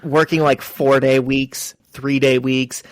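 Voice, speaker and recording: A man's voice: medium pitch, level tone, slow, no room sound. A man co-hosting a podcast, chatting casually and telling self-mocking stories. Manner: joking, self-mocking storyteller. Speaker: podcast co-host. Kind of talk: casual chat.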